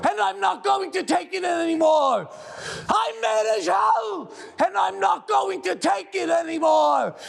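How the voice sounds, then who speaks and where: An actor playing an enraged, fed-up TV news anchor who shouts at his viewers in a dramatic monologue. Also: very high voice, gravelly, exaggerated voice. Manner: shouting, exhorting, repeating refrains. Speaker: stage actor.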